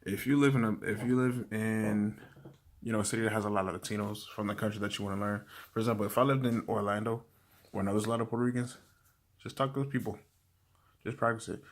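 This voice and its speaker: A young man giving casual language-learning tips to camera, talking in a relaxed, rambling way with many fillers and restarts. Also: fairly low pitch, a little fast. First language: English.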